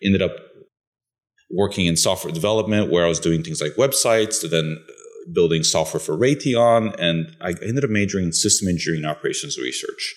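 A man's voice: low-pitched, brisk. A middle-aged man from Bulgaria, a podcast co-host, chatting casually and telling his background with frequent restarts. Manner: conversational storyteller with restarts.

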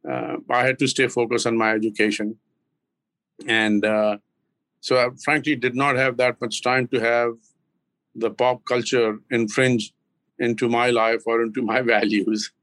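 An older man from Pakistan, in his sixties or beyond, with a Pakistani accent, talking reflectively as an interview guest in a measured, hesitant way with frequent pauses. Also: mid-range voice, little pitch movement, fairly bright tone, no room sound. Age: 60s or older. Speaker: interview guest, business owner.

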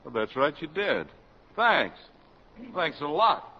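Nosy, lilting newspaper reporter, old radio. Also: male voice, fairly high pitch, big pitch swings, takes it slowly, pushed and bright, exaggerated voice. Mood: needling, sly. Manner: prying, sly. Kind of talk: 1950s radio drama acting. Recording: dull vintage 1950s radio recording.

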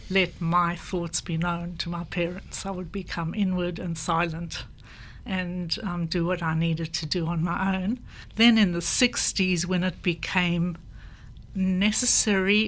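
An older woman, recounting her personal history in a measured, reflective way. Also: on the low side, fairly bright tone. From South Africa. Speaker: panel guest. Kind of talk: personal recollection in conversation.

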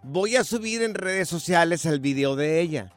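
Animated Mexican radio host, high voice. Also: male voice, moderate pace, faint music behind. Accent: Mexican Spanish. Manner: animated, playful storytelling. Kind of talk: casual radio banter.

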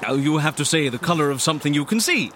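A man playing a fussy, polite, easily flustered gentleman in an audio comedy. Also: fairly high pitch, expressive, quick pace, slight background noise. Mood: fussy, playful. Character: fussy, polite, nervous gentleman leading a boat trip. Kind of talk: audio fiction comedy acting. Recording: water and boat ambience behind.

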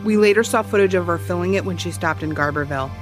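An actress playing a brash, wry private eye who recounts a case in a blunt, teasing, theatrical way. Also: female voice, fairly low pitch, fast, over music, natural voice. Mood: dramatic recounting. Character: brash, wry woman private eye, small-town ex-cop. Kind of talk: audio drama dialogue, recounting a case.

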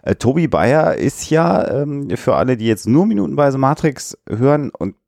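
A man, co-hosting a podcast and telling stories in a lively, joking way. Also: mid-range voice, normal speed, vocal fry, no room sound, studio quality. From Hamburg area. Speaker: podcast co-host. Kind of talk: casual podcast chat.

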